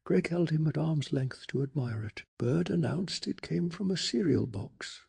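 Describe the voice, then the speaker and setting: Male ASMR narrator, hushed and deliberate, extremely husky and crackly, for scripted bedtime stories. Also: on the high side, expressive. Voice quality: breathy, gravelly, hushed. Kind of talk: soft-spoken ASMR scripted story narration.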